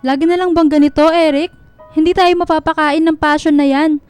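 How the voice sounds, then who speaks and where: Stern middle-aged mother acted by a woman, high voice, reproachful, controlling, radio drama, dry close sound. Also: fairly even intonation, fairly quick, darkish tone, no room sound, soft background music, natural voice. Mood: bitter, scolding. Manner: scolding, reproachful.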